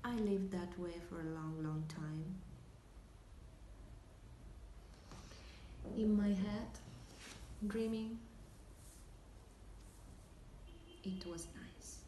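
A woman's voice: mid-range voice, fairly even intonation, warm tone, lightly acted. A young woman with an Italian accent playing a wistful, hesitant dreamer remembering lost hopes in a dramatic monologue. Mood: fragile, sad. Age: young adult. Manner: slow, hesitant, inward. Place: small indoor room, seated at table. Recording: echoey room, faint hiss.

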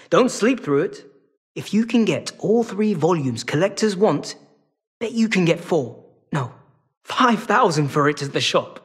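A sly feline rogue from a video game. The voice sits fairly high for a male and has a husky rasp. He talks in a wry, casual, streetwise drawl, like a weary hustler who is always bargaining. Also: a bit bright, exaggerated voice. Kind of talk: video game character acting.

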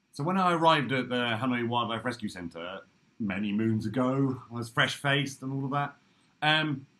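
Bright-voiced man giving a casual talk. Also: mid-range voice. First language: English. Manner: relaxed, loose and chatty with frequent ums. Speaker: workshop speaker. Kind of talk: casual presentation. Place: office room indoors. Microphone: laptop webcam video call.

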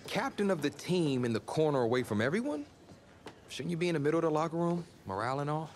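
A man's voice: a little high, big pitch swings, fast, slight background noise. A man playing a confident, competitive young backup quarterback in a video game cutscene, direct and probing, with an American accent.

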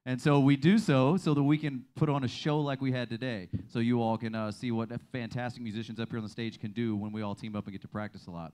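A man from the United States answering a host's questions on a small venue stage, talking in a relaxed, good-humored way through a handheld mic. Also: mid-range voice, fast, hardly any pauses. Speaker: band leader.

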